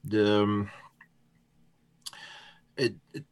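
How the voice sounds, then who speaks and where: Hesitant Dutch-accented man, lowish voice. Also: fairly even intonation, extremely slow, clear, resonant tone. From Netherlands. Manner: hesitant, many fillers and restarts. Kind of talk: casual podcast conversation.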